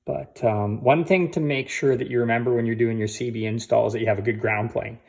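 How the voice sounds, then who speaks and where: A man with an average-pitched voice talks through a hands-on installation for a vlog. His delivery is casual and informal, like showing someone around a project, with conversational asides along the way. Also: fairly even intonation, fairly quick, vocal fry, darkish tone. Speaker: vlogger giving a DIY install walkthrough.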